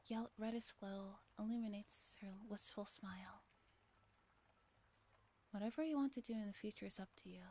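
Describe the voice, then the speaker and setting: A woman reading a story aloud softly as a streamer, in a breathy ASMR style that slips into whispers. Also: medium pitch. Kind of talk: soft-spoken ASMR narration with chat asides.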